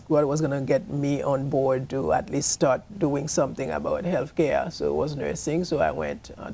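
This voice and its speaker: A middle-aged woman from Haiti, a nurse practitioner, speaking casually and a little haltingly as an interview guest. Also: low voice, dark tone. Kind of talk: casual personal storytelling in an interview.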